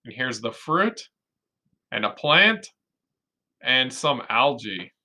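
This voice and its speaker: A man's voice: medium pitch, big pitch swings, takes it slowly, bright, forceful tone. A man teaching young children through a read-aloud, explaining simply and expressively with questions and emphasis on key words. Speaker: kindergarten teacher.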